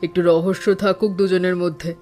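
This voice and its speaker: A man voicing a calm, wise elderly mother-in-law in a cartoon, speaking in an even, advising tone. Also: high voice, brisk, soft background music, close to an everyday voice. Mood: gentle, advising. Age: older. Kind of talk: cartoon voice acting.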